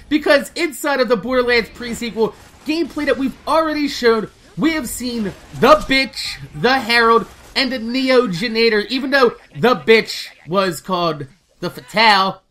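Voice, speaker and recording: A woman hosting a gaming video, giving casual, animated news commentary with informal talk and plenty of swearing. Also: fairly high pitch, moderate pace.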